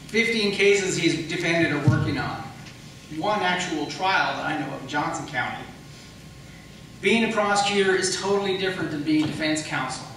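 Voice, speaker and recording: A man's voice: a little high, normal speed, fairly bright tone. Firm American man in a cavernous echo. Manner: firm, explanatory, repeats points for emphasis. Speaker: county attorney seeking re-election. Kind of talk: campaign debate speech. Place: echoey hall at a public debate. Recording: very echoey room, distant mic, faint noise.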